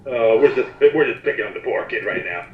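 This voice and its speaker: A man co-hosting a casual commentary chat with sarcastic, animated joking banter. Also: mid-range voice, a little fast. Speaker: commentary co-host. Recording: echoey, dull lo-fi mic with noise.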